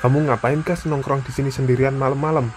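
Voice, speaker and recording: A man voicing a young Indonesian hiker, a practical, concerned friend talking colloquially in an animated horror story. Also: mid-range voice, fast, background noise, lightly acted. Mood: friendly, reassuring. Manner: casual, colloquial. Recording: music behind the dialogue.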